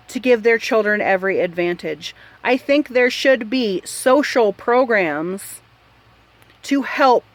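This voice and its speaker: A woman's voice: a little high, a bit bright, no room sound. A woman host giving opinion commentary in a blunt, exasperated and emphatic manner. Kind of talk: opinion commentary on a news article.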